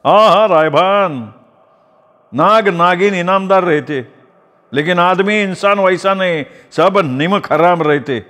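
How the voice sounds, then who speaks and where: Menacing male snake-catcher played by a man, high, mocking, slow, with long hall reverb. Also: fairly bright tone. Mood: taunting. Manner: taunting, slow and drawn-out.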